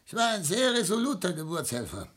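A man's voice: high-pitched, wildly swooping pitch, fairly quick, a bit bright, heavily acted. An actor playing an embittered, proud father of seventy in a classic German radio drama, declamatory and bitterly sarcastic. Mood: cold sarcasm. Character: embittered seventy-year-old father, proud, wounded patriarch.

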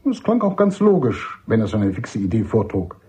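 A man playing an older army major and doctor in a radio drama, husky, emphatic and cynical, courteous but dismissive. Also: fairly low pitch, very animated, moderate pace, mellow, heavily acted. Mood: exasperated. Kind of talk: German radio drama acting.

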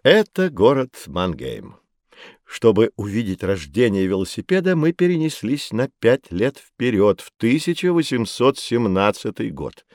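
A man playing a patient, knowledgeable teacher who explains with expressive storytelling in a children's educational audio drama. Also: mid-range voice, measured pace, dry recording, clean studio sound. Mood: patient, explaining.

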